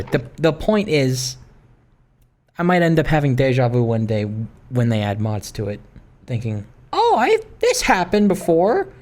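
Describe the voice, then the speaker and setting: A gaming video creator chatting casually while playing, rambling in a hesitant, halting way and often trailing off. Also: mid-range voice. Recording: clearly noisy, with clicks.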